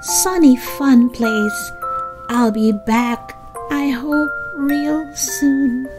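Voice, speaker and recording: A woman reading a picture book aloud to young children, expressively, with sing-song, deliberate phrasing. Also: sits high, steady tone, over music. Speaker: children's story reader.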